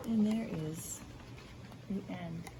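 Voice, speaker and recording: Slow-paced American woman reading to children. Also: medium pitch, vocal fry, slight background noise. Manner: expressive, encouraging, asks questions and pauses for answers. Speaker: school media specialist reading aloud to children. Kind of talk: read-aloud storytelling and lesson for young children.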